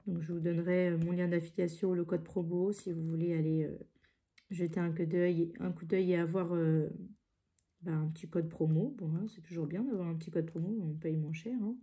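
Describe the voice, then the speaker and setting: A woman talks casually from her craft table, her voice stuffy with a cold. The tone is low and very dark and mellow. She rambles, restarting her sentences and filling the gaps with hesitations. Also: fairly even intonation, moderate pace. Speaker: craft channel host. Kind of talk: casual chat while crafting. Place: home craft table, indoors.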